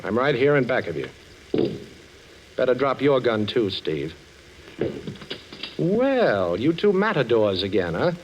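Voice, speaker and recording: An American actor playing a cocky, wisecracking ex-thief turned detective in a 1940s radio drama. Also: male voice, mid-range voice, big pitch swings, a little slow, long pauses, highs cut off. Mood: cocky, commanding. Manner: wisecracking, breezy, self-assured. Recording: old radio recording, faint noise.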